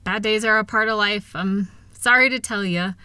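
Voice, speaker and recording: Woman acting as a bright-voiced, reassuring, loving wife. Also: medium pitch, moderate pace, smooth, no room sound, lightly acted. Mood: soothing, reassuring. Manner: reassuring, gentle, teasing, affectionate. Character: kind, upbeat, capable wife soothing her insecure partner. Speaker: audio roleplay performer. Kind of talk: intimate comfort audio roleplay.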